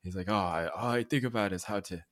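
Animated low-voiced man in casual debate. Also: quick pace. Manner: animated, swearing, laughing, bursts into loud asides. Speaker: podcast co-host. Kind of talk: casual chat.

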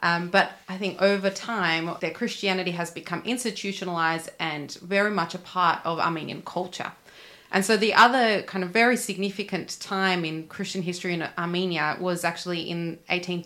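Young woman, podcast guest, from Australia, earnest, fairly low pitch. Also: bright, forceful tone. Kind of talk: podcast interview.

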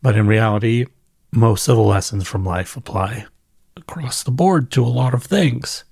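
A man speaks by himself in a very dry recording with little room sound. He has a mid-range voice and talks off the cuff like a podcaster. His delivery is conversational and thoughtful, using pauses and repeated phrases for emphasis. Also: mid-range voice, gravelly, a little mellow, slightly rough, no room sound. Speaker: podcast host and newsletter writer. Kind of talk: casual reflective solo monologue, off the cuff.

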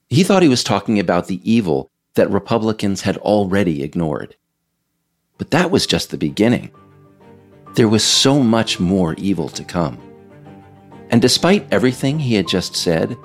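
An American man reading scripted narration in a measured, emphatic storytelling way. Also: deep voice, gravelly. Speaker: author reading own work, narrator.

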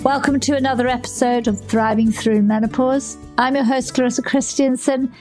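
A woman's voice: high voice, steady tone, moderate pace, loud music behind the voice, poor audio. Woman hosting a podcast interview. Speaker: podcast host. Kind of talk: scripted podcast intro and interview.

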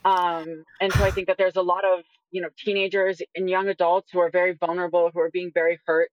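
A woman's voice: lowish voice, little pitch movement, fast talker, highs cut off, a little hiss or hum. A woman in her twenties talking earnestly as a guest in a conversational discussion, often saying "like". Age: 20s. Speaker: guest, detransition advocate.

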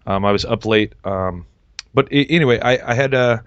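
A man's voice: mid-range voice, brisk, smooth, no room sound, dull audio. A man hosting a casual podcast, rambling with lots of fillers and restarts, tired and a little dry-voiced. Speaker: podcast host. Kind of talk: casual podcast chat and interview.

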